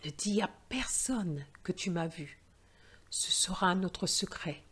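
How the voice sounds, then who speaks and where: A kindly, fatherly old Father Christmas from a storybook, acted out by a woman narrator. The delivery is slow and earnest, with wide swings of pitch as he gently urges and reassures. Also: lively intonation, husky, full character voice. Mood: secretive. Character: kindly Father Christmas, old man giving gifts and advice. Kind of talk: storybook read-aloud dialogue.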